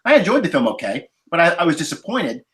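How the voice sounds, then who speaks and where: A man talks as a panelist on a casual podcast roundtable. His pitch moves up and down a great deal as he digresses through his explanations. He is getting over a cold. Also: very animated, normal speed, a bit bright. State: recovering from a cold, occasional cough. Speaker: podcast panelist discussing a film.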